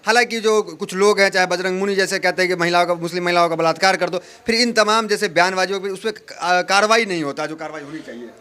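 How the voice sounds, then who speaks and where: A man's voice: high voice, fast talker, few pauses, sharp tone. A man, working as an interviewer and putting questions in a political interview. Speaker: interviewer, reporter.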